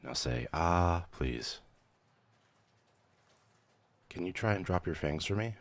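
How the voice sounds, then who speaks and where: A man playing a brisk, weary vampire mentor in a scripted ASMR roleplay, measured, clinical and dry in manner. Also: low voice, expressive. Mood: clinical, professional. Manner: measured, clinical, dryly matter-of-fact, hesitant when surprised. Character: male vampire mentor, brisk weary clerk-medic, professional turning casual.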